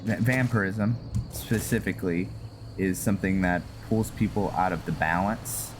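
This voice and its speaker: A man's voice: low-pitched, moderate pace, background noise. A man giving casual gameplay commentary, musing and hesitant as he thinks aloud. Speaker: gamer commentating a roleplay playthrough. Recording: loud music over voice. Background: loud game music.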